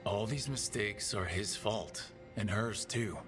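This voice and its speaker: An actor playing a pragmatic, determined fantasy hero in a video game, speaking plainly and with purpose. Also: male voice, lowish voice, slow pace. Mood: serious. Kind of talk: dramatic video game dialogue. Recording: music behind the voice.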